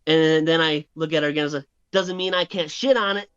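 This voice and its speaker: The sound is slightly muffled and lo-fi. A man with a fairly high voice co-hosts a podcast, talking casually and spinning joking anecdotes. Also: fairly even intonation, brisk, fairly bright tone, clean tone. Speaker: podcast co-host. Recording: dull, lo-fi sound.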